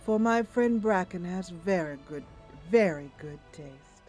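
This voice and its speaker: A woman voicing a kind, composed, noble female adventurer who speaks in a formal, measured manner. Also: on the low side, animated, a little mellow, faint music behind. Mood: affectionate.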